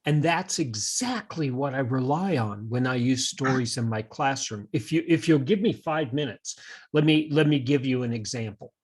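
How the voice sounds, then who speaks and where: A man with a slightly husky voice co-hosting a conversational podcast, explaining ideas in a thoughtful, halting way like a teacher. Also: medium pitch.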